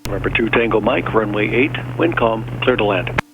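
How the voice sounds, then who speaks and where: A male air traffic controller speaks quickly in clipped, procedural phrases. He comes through an aviation radio that is dull and lo-fi, with clear noise around the voice. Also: on the low side. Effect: aviation radio transmission. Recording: muffled noisy aircraft radio link.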